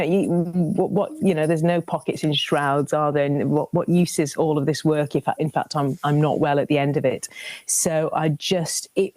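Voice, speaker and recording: A woman, talking as a guest on the radio. Her voice sits very low, and she tells her stories in a lively way, often restarting her sentences. The sound is dry and close, with no room around it. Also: no echo. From Lancashire, England. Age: 50s. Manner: animated, warm storytelling with many restarts. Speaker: guest, cookery presenter. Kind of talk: radio interview chat.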